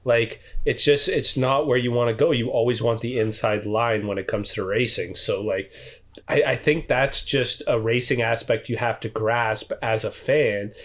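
A man's voice: mid-range voice, normal speed, a bit bright. A man co-hosting a podcast, chatting casually and giving his opinions in an informal way. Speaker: podcast co-host.

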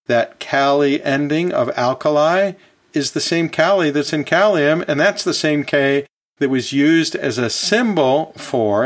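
A man hosting an educational podcast, talking like a chatty teacher in a conversational, folksy way with casual asides. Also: mid-range voice. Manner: chatty, chuckles often, self-correcting asides. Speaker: podcast host and anatomy and physiology teacher.

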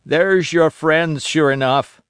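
A man's voice: a little high, a bit bright, clear, resonant tone, no room sound, voice pushed up. A man doing an eager old castaway sailor. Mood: confiding. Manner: rustic sailor dialect, drawn-out wheedling. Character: wild-eyed marooned old sailor, eager, cunning. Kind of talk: audiobook character voice.

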